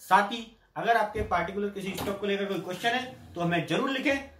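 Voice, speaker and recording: A middle-aged Indian man hosting a daily stock market update, briskly reading out price levels and tips. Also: sits high, slight background noise. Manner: brisk, reads out price levels in a lively sing-song. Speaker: stock market analyst host. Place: small indoor room with patterned curtain. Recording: echoey room.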